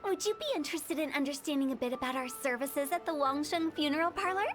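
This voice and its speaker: A woman's voice: sits high, fast, fairly bright tone, soft background music. A woman voicing a playful young funeral director with a mischievous, cheerfully morbid way of talking. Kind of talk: game voice-over.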